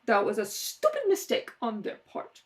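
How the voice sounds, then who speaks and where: Radio play: domineering older aunt played by a woman, haughty, imperious, clipped delivery, animated pitch. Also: normal speed. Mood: haughty, controlled.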